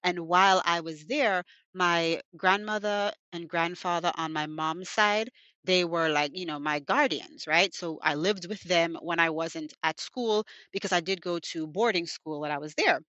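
Podcast host, young woman, lowish voice, dry close mic, earnest and chatty. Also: moderate pace, sharp tone, no echo, lo-fi sound. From United States, partly raised in Jamaica. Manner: fervent, repeats thanks and "God" often; chatty, many "you know" fillers. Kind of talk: prayer and personal storytelling.

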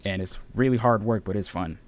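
A man who is a radio DJ and audio editor, chatting casually in a radio interview, relaxed and rambling with many fillers. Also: lowish voice, a little fast.

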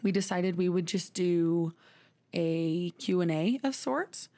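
A woman's voice: on the low side, animated, slow, warm tone. A woman in her twenties from Texas, co-hosting a podcast in casual chat and laughing often. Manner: giggly, chatty, laughs often. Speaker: podcast co-host.

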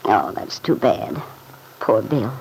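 An actress playing a kindly, thoughtful middle-aged farm mother in an old-time radio drama, speaking gently and politely with some hesitancy. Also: very deep voice, sing-song, takes it slowly, gravelly, slight background noise, heavily acted, poor audio. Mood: regretful, earnest.

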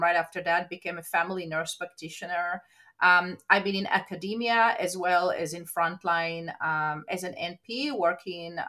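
A woman's voice: lowish voice, bright, forceful tone. Woman nurse guest. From Israel. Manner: eager, fills pauses with um and uh. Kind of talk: interview answers.

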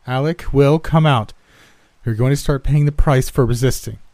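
An urgent, coaxing woman played by a male narrator calls out in a horror story. The voice is raised well above his usual pitch, pleading and yelling, recorded dry. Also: moderate pace, dry recording, natural voice. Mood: warning. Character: coaxing, urgent woman calling someone out of hiding.

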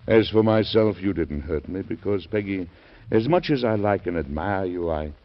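A gravelly old president. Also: male voice, low voice, lively intonation. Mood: tender. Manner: warm, fatherly, halting pauses. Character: elderly male U.S. president, stubborn, gentle. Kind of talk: 1940s radio acting. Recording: muffled vintage radio broadcast.

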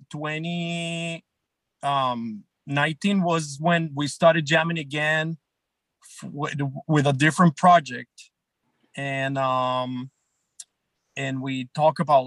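A man in his thirties talks loosely about his band, restarting sentences and checking in with the others. His voice sits a bit high for a man. The sound is close and dry, with no room around it. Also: steady tone, slow pace, fairly bright tone, no room sound, poor audio. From Colombia. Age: 30s. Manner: rambling, many restarts and "right?" checks. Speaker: band guitarist and songwriter guest. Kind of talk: casual interview chat.

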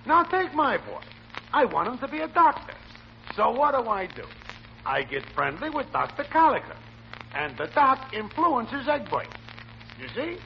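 A man's voice: extremely high pitch, wildly swooping pitch, normal speed, gravelly, exaggerated voice. An actor playing a boastful, needling factory worker and father in a 1940s radio comedy. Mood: boastful, scornful. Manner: mocking, boastful, argumentative. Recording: muffled, hissy vintage radio recording.

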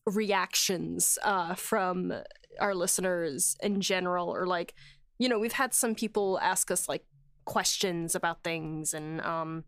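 A woman hosting a podcast, chatting casually and enthusiastically about making a show, quick to laugh. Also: on the low side, a bit bright, no echo, clean studio sound. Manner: effusive, enthusiastic, prone to laughing. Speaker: podcast host and series writer.

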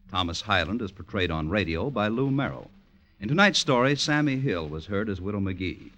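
Casting: male American vintage radio announcer, low voice, animated intonation, polished emphatic read. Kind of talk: 1950s radio announcing, scripted.

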